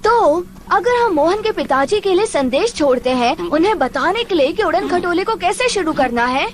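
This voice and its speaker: A mischievous little cartoon creature with a squeaky, extremely high voice. The youngster talks in an excitable, earnest way, over faint background noise, as in an animated film dub. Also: female voice, moderate pace. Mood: eager, scheming.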